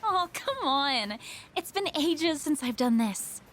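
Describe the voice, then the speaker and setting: A woman's voice: sits high, big pitch swings, takes it slowly, fairly bright tone, faint music behind, exaggerated voice. An actress voicing an easygoing, teasing young working woman in a video game, with a friendly, coaxing manner. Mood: playful, coaxing. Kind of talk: English video game voice acting.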